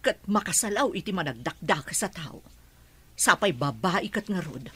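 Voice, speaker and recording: A worried, protective mother in a radio drama. She is expressive and very animated, with a bright voice and a rasp to it. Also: lowish voice, moderate pace, exaggerated voice. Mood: fretful. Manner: expressive, fretful. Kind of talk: Filipino radio drama acting.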